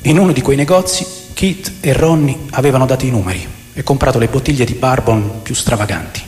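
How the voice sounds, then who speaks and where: An actor playing an aging, rebellious rock musician who recounts his wild past in a deliberate, theatrical radio drama narration with a gravelly voice. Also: medium pitch, darkish tone, lightly acted. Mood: wry, reflective. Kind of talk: dramatic first-person memoir narration. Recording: long reverb over music.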